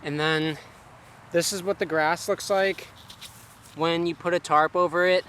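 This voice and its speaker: A man's voice: on the high side, steady tone, a little slow, fairly bright tone, slight background noise. A man casually vlogging outdoors in a home garden, filming himself with a handheld camera and rambling with lots of fillers. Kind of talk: casual self-filmed vlog. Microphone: handheld self-filming camera.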